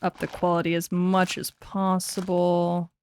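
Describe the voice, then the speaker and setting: A woman's voice: lowish voice, completely flat delivery, measured pace, darkish tone, slight background noise. A woman in her twenties chatting casually on a game stream, laughing easily, swearing, and muttering to herself as she works things out. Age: 20s. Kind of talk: casual gaming stream chat.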